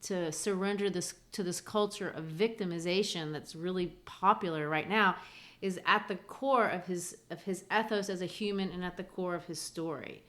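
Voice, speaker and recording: A woman's voice: on the low side, fairly bright tone. A woman, co-hosting a literary podcast and explaining things in an earnest way. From grew up in Brazil. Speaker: podcast co-host.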